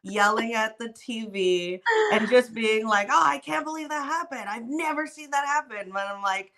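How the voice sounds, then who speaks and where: A woman's voice: fairly high pitch, normal speed. A woman in her forties from Canada with a slight Texan accent, talking casually and animatedly as a podcast guest over a video call on a tablet. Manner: bubbly, animated storytelling. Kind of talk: casual interview chat. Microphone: tablet mic on a video call.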